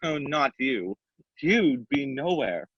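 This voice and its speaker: A slightly muffled recording of a sly, mad storybook cat played by a woman. The delivery is slow and teasing, swooping lazily up and down with amusement. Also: rumbling low, big pitch swings, breathy edge, no room sound, heavily acted. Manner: teasing, leisurely, chuckling. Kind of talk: dramatic reading of a children's classic.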